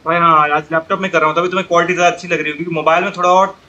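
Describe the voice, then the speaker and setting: A man's voice: on the high side, flat delivery, racing, sharp tone, lo-fi sound. A man with an Indian accent, chatting casually as he commentates on a live game stream. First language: Hindi. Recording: laptop stream with background noise. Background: game audio.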